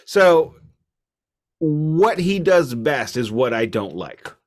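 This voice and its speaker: A man's voice: fairly high pitch, very animated, slow pace. A man co-hosting a casual music discussion, talking in a wry, emphatic and discursive way. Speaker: album review podcast co-host.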